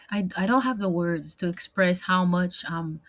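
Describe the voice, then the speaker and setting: A young woman with a French accent, talking candidly in a personal vlog monologue, rambling and repeating words, with lots of fillers and now and then a slip into French. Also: on the low side. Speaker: vlogger.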